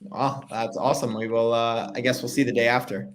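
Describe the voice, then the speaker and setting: A man's voice: mid-range voice, little pitch movement. Young male host, upbeat and chatty, home video call. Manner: upbeat, fillers, quick reactions. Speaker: livestream host. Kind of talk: casual interview over video call. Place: home room with framed pictures.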